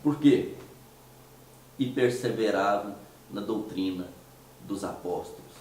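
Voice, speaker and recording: A hoarse man with a Mineiro Brazilian Portuguese accent talks through his commentary at a relaxed, unhurried pace. His voice is dark and mellow, with rhetorical questions and hesitant restarts. He sits well back from the mic in a very echoey room, with faint noise underneath. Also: on the low side, distant mic. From Minas Gerais, Brazil. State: hoarse from a cold. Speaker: host of a commentary show. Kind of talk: casual monologue commentary.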